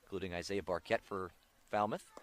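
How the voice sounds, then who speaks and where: An American man doing live play-by-play baseball commentary on the radio, with excited calls on big plays. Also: low voice, brisk.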